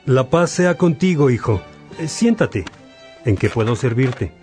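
A man acting as a kindly, wise bishop and judge in a radio drama, speaking in a measured, paternal, pastoral way. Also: mid-range voice, expressive, a little mellow, natural voice. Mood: firm, fatherly. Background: music.

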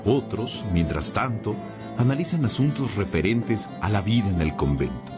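A man's voice: lowish voice, sing-song, moderate pace. A man reading scripted narration that introduces a religious radio drama. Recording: prominent music under the voice. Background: music.

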